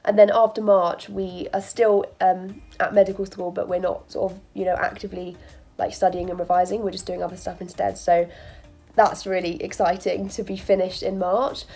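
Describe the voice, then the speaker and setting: A woman in her twenties, a medical student, chatting casually to camera in an upbeat, quick way, with a stuffy nose from a cold. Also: medium pitch, flat delivery, gravelly, darkish tone, soft background music. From England. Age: 20s. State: bunged up with a cold. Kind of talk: casual vlog talk.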